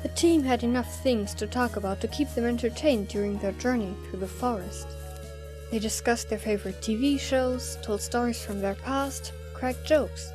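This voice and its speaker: Female narrator, slightly high pitch, scripted storytelling, audio drama, faint music underneath. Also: moderate pace.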